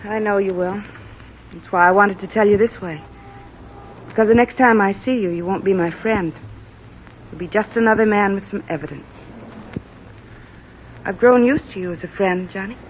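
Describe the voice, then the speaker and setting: A woman's voice: medium pitch, normal speed, long pauses, a little hiss or hum, lightly acted. An actress playing a proud, desperate young former hat check girl in a 1950s radio drama, earnest and pleading. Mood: tender. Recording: muffled old radio recording.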